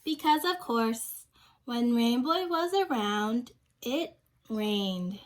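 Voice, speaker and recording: A young woman reading a picture book aloud to children, pausing to ask them questions and explain things simply. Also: a little high, expressive. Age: young adult. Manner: simple explanatory asides, prompting young listeners.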